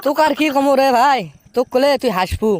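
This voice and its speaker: A man plays a heartbroken young cartoon man with a Bogura accent. His voice is extremely high for a male, and he pours out earnest, stubborn complaints at a very quick pace. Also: fairly even intonation, slight background noise. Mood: plaintive. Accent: Bogura, Bangladesh. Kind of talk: comic cartoon dialogue.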